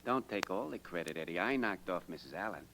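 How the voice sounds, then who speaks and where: A man's voice: sits low, animated, fast, a bit bright. An actor playing a vain, boastful American henchman who sneers and gloats in a radio crime drama. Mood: gloating. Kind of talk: old-time radio crime drama acting. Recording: noisy, clicking old radio recording.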